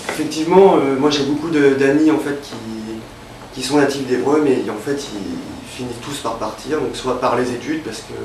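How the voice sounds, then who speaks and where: Creaky-voiced young man, off-mic. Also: mid-range voice, far from the mic, background noise. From Évreux, Normandy, France. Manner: hesitant, many fillers and restarts. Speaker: interviewee, freelance illustrator. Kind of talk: casual interview. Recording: roomy, off-mic with faint ambience.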